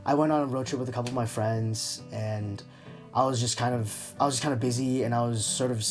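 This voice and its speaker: A man's voice: medium pitch, fairly even intonation, brisk, over music. Hesitant young man opening up. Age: young adult. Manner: hesitant, searching for words. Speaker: interview subject. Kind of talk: reflective, candid personal interview.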